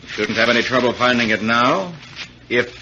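Haunted, animated accuser, old radio. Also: male voice, medium pitch. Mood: grimly insistent. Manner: brooding, accusing, deliberate. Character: haunted, suspicious wealthy man turning insistent. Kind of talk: 1940s radio mystery acting. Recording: dull, hissy old radio recording.

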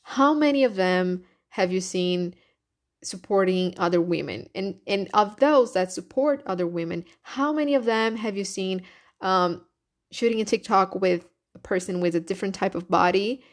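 A woman's voice: medium pitch, normal speed. Brazilian-accented young woman hosting a podcast. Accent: Brazilian Portuguese. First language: Portuguese. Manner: animated, frequent laughs, rhetorical questions. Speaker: podcast host. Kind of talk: solo opinion podcast.

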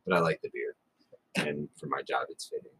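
A man's voice: extremely low pitch, a little fast, vocal fry, fairly bright tone, slightly rough, low-quality recording. A man in his thirties co-hosting a casual podcast, talking in a rambling way with many restarts and self-corrections. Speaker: podcast co-host. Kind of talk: casual chat.

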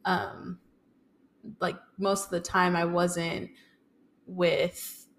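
A woman's voice: on the low side, level tone, very slow, fairly bright tone. A young woman, speaking casually and tentatively as a podcast guest, thinking as she goes. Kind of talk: casual personal conversation.

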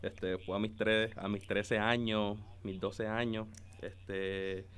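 Smooth, lowish Caribbean man in his twenties. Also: little pitch movement, slow, a bit bright. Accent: Caribbean Spanish. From Dominican Republic and Puerto Rico. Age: 20s. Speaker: podcast guest, photographer and videographer. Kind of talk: casual conversational storytelling.

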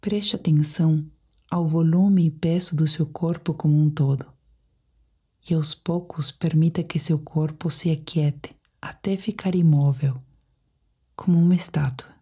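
Breathy, whispery low-voiced Brazilian woman. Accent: Brazilian Portuguese. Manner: slow, calm, soothing, measured. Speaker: meditation guide. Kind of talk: guided sleep meditation.

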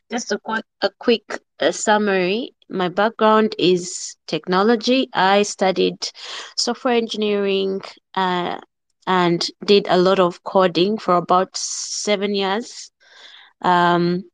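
A woman, answering interview questions as a startup co-founder in a measured, thoughtful way with frequent pauses. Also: mid-range voice, no room sound. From central Uganda. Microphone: remote call-in on a live audio chat.

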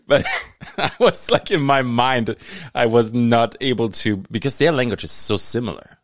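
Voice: mid-range voice, animated, a little slow, long pauses, no room sound, studio quality. A podcast host with a German accent, a native German speaker, chatting casually, laughing often and searching for English words. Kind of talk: casual conversational interview.

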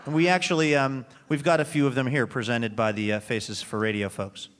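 A man's voice: medium pitch, steady tone, a little fast, a bit bright. A man hosting a casual, joking live interview in a wry, conversational way. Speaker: radio show host, interviewer.

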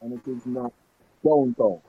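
A man in his fifties from Jamaica with a Jamaican accent, recalling his childhood in a casual, halting way full of restarts. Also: medium pitch, huge pitch swings, gravelly, slightly rough, phone-quality sound. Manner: patois-laced, halting, many restarts and fillers. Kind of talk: casual reminiscing chat.

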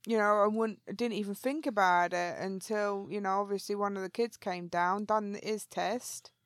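Brief: female co-host, mid pitch, rambling and chatty, congested, dry mic. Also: fairly even intonation, moderate pace, no echo. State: phlegmy, congested. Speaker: podcast co-host. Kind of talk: casual podcast chat.

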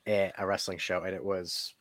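Low-voiced man telling dry-humored stories. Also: level tone, moderate pace, fairly bright tone, no room sound. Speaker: podcast co-host. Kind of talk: casual podcast chat.

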